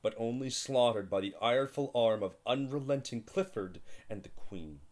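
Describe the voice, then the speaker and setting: An actor playing a solemn male chorus and bearer of grievous news, declaiming Shakespearean verse in a measured manner. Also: mid-range voice, darkish tone, lightly acted. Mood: mournful.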